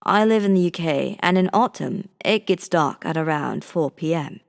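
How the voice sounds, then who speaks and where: A woman's voice: low voice, lively intonation, moderate pace, creaky. A woman reading a scripted first-person scary story as a narrator. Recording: long reverb on the voice.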